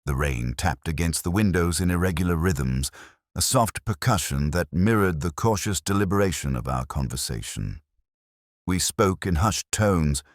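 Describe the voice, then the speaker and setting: Very deep-voiced man narrating detective fiction. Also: dry recording, clean studio sound. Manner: measured, literary, deliberate phrasing. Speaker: audiobook-style narrator. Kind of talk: scripted first-person detective story narration.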